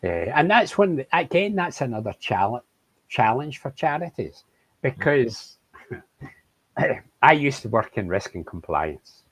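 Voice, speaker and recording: A man who represents a charity, speaking in a measured, thoughtful way in an interview. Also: medium pitch, very animated, fairly bright tone, slightly rough, no echo. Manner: measured, thoughtful, asks questions. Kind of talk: conversational interview.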